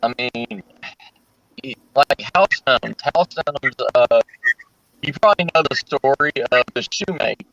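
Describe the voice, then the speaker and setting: Slow, bright-toned man rambling as host. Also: mid-range voice, expressive, vocal fry, no echo. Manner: rambling, many restarts and fillers. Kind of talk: casual group chat. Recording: dull dry lo-fi sound.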